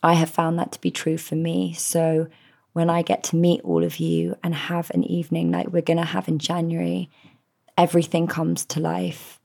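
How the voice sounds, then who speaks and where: Soothing low-voiced woman, gravelly edges. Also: normal speed. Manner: gentle, soothing, heartfelt. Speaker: podcast host. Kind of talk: reflective solo podcast address.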